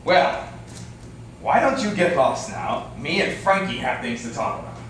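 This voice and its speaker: A man's voice: on the high side, big pitch swings, measured pace, a bit bright. A man playing a pushy, menacing gangster fixer in a staged play reading. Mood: threatening. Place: indoor room, live staged reading. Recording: echoey room, distant mic, faint noise.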